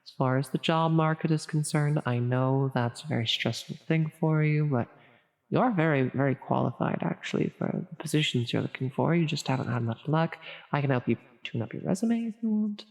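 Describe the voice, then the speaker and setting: A woman playing a flustered, officious mid-level demon in a roleplay audio, halting and stammering as she tries to be helpful. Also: deep voice, creaky, darkish tone. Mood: reassuring, helpful. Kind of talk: intimate roleplay audio for sleep. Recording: long reverberant hall echo.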